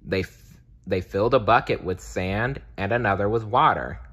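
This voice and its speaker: A man narrating a children's storybook aloud in a deliberate, expressive read-aloud style. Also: lowish voice, slightly rough. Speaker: storybook reader.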